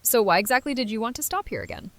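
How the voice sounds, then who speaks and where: A woman's voice: a little high, wildly swooping pitch, fast, vocal fry, full character voice. A woman playing a wry, blunt survivor and travelling companion in an audio drama. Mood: matter-of-fact. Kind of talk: fan audio drama acting.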